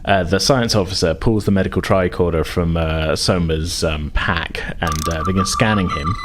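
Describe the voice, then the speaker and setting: Very low-voiced male game master. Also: normal speed, heavy background noise. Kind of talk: narrating a tabletop roleplaying game.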